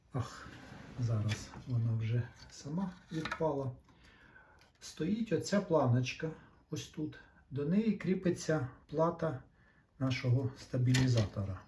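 A man gives an unscripted hands-on repair tutorial, explaining patiently step by step with short pauses. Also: medium pitch, warm tone. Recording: roomy echo, faint background noise.

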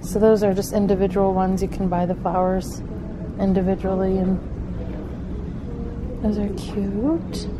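Slow, chatty woman browsing a decor shop. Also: mid-range voice, little pitch movement, noisy background. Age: middle-aged. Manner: chatty, points out prices and cute items. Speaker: vlogger. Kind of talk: shop-with-me vlog. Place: home decor store, indoors. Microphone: handheld camera. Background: background music.

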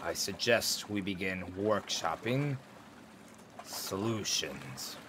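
A man playing a cold, formal crime boss who speaks in a measured, pompous way. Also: sits low, expressive, noisy background. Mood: cold, controlled. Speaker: voice actor in a fan motion comic.

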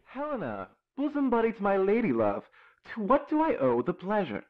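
A man's voice: high-pitched, huge pitch swings, normal speed, phone-quality sound. A man playing a flighty young artist boyfriend in an audio drama, florid and dramatic in manner. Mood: grandly romantic. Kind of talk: modern audio drama acting.

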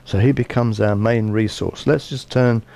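A man's voice: on the low side, fairly even intonation, normal speed, vocal fry, darkish tone. A man in his fifties with a British accent, preaching a sermon in an earnest, conversational way. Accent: British English. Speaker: bishop preaching. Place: small indoor room by a window.

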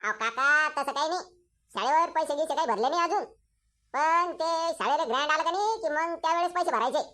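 A man's voice: very high voice, fairly quick, pushed and bright. A man with a rural Maharashtrian accent voicing a greedy, boastful village father in a comic cartoon, haggling insistently in folksy speech. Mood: defensive.

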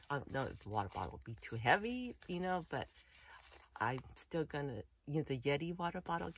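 A woman's voice: low voice, slight background noise, rough recording. A middle-aged woman casually showing off a backpack, talking in a rambling, chatty way full of "you know" and restarts, recorded indoors close to the camera. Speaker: vlogger reviewing a backpack. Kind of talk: casual unboxing show-and-tell. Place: indoor room full of collectibles.

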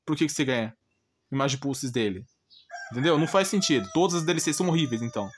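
A man's voice: medium pitch, lively intonation, quick pace, slight background noise. A man with a Brazilian Portuguese accent gives an informal, blunt rant, exasperated and repeating himself with rhetorical questions. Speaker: gaming channel host.

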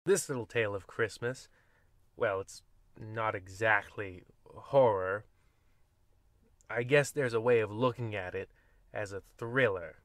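A man's voice: on the low side, a little slow, long pauses, a bit bright, dry recording. A man playing a wry, rambling host of mock-ominous horror tales in an old-time radio style. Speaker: narrator.

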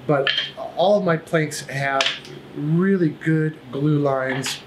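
A man's voice: fairly high pitch, takes it slowly. Man, thirties, casual, fillers and restarts, home workshop, faint noise. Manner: relaxed, rambling with many fillers and restarts. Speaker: woodworking channel host. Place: cluttered home woodworking shop, indoors. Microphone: camera at a few feet.

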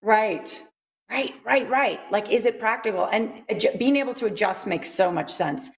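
A woman's voice: medium pitch, normal speed. Upbeat woman co-hosting daytime TV. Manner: upbeat, enthusiastic. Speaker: morning show co-host. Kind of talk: daytime TV interview. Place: studio set.

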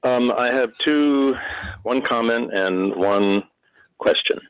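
A man calling in to a radio show, asking his question haltingly with frequent pauses. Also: medium pitch, smooth, no echo, highs cut off. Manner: halting, many ums. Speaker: caller. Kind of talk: phone-in question.

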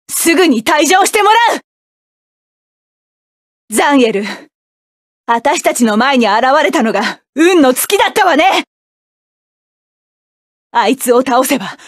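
A woman voicing a fierce, commanding young mech pilot in a game, with forceful battle shouts and clipped commands. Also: sits high, no echo, studio quality. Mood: cool. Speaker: voice actress. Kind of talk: game voice clips.

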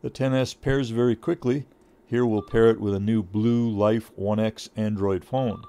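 A middle-aged man reading a scripted product review in a measured, informative way. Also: fairly low pitch, fairly even intonation, dark tone, clear, resonant tone.

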